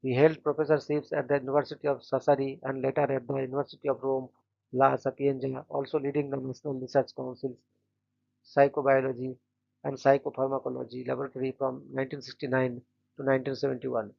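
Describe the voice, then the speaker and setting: A man hosting a daily channel, reading short scripted biographies in a flat, steady way and stumbling now and then on names. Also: mid-range voice, fast, vocal fry, muffled recording. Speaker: host of a daily events channel.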